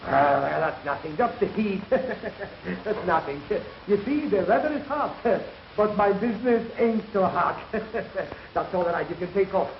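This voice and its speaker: An actor playing an excitable small-business boss who repeats his reassurances, in a 1930s film. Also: sits high, unhurried, vocal fry, highs cut off, exaggerated voice. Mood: jovial, reassuring. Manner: chuckling, repetitive, brushing off worries. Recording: echoey, hissy 1930s film soundtrack.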